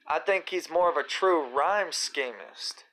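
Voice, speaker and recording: A man with a somewhat high, slightly raspy voice talks through viewer questions on a live stream. His delivery is loose and rambling, full of fillers and slang. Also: expressive, unhurried, bright, forceful tone, low-quality recording. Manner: laid-back, rambling, uses fillers and slang. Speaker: rapper hosting a live Q&A. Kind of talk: casual live-stream chat reading viewer comments.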